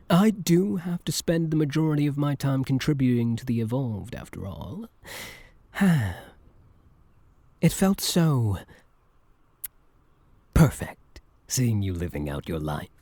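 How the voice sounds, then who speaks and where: Breathy, slow-spoken obsessive villain played by a man. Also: mid-range voice, very animated, mellow, no echo, exaggerated voice. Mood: tender, obsessive. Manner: intimate, theatrical, slow and deliberate with sighs. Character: obsessive yandere supervillain, devoted, menacing, smugly possessive man. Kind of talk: ASMR roleplay monologue.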